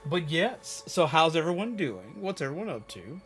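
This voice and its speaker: A man's voice: a little high, very animated, normal speed, fairly bright tone. A man hosting a casual livestream, chatting to viewers in a playful, theatrical way with exaggerated emphasis. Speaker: livestream host. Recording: music playing behind the voice. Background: background music.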